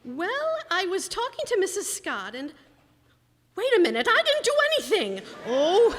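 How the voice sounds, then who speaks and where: Female actor as scolding sitcom wife, very high, animated, old-time radio comedy, echoey hall. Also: normal speed, exaggerated voice. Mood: scolding, exasperated. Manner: dry, scolding. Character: sharp, long-suffering, wisecracking bandleader's wife. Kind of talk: 1940s radio sitcom acting. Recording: reverberant hall, stage radio play.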